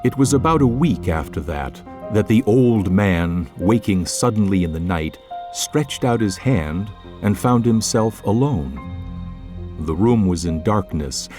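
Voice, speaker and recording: Deep, slow male narrator. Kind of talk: scripted literary narration, measured.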